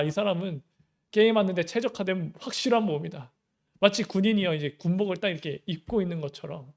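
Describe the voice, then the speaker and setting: High-voiced man preaching conversationally. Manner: conversational preaching with many rhetorical questions. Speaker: pastor. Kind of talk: sermon.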